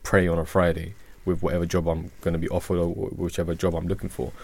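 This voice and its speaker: A man's voice: low voice, level tone, fast, vocal fry, a little mellow. A man in his thirties co-hosting a radio discussion, thinking aloud and asking questions with some hesitation. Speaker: radio show co-host. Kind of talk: casual panel discussion.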